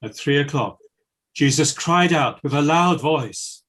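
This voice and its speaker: A man narrating scripture in a solemn, measured and formal reading style. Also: a little high, fairly bright tone.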